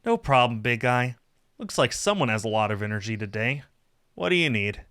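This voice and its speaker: A man's voice: mid-range voice, expressive, a little fast, fairly bright tone. A man voicing a sulky, sarcastic satyr slacker from a visual novel game, whiny and dramatic. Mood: grudging sarcasm. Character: sulky sarcastic male satyr, lazy hard-drinking slacker.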